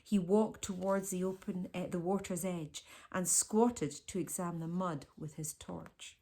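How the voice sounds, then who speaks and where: A woman reading a children's storybook aloud as a teacher, with expressive storytelling delivery. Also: lowish voice, a little slow.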